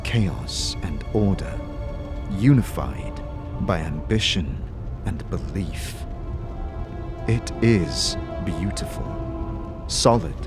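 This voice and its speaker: Low-voiced man narrating dramatically over music. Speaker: narrator and game master of an audio series. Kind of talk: dramatic second-person scripted narration.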